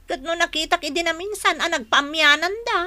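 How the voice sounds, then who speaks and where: A woman's voice: extremely high pitch, fairly quick, sharp tone, no room sound, exaggerated voice. A woman playing a middle-aged gossiping friend in a radio drama, nosy, inquisitive and insinuating. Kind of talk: Ilocano radio drama acting.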